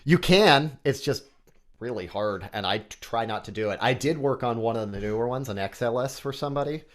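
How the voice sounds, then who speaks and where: A man's voice: medium pitch, lively intonation, a little fast. A man with a nasal voice, a guest on a podcast, chatting casually and technically about microphones. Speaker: guest, microphone company CEO.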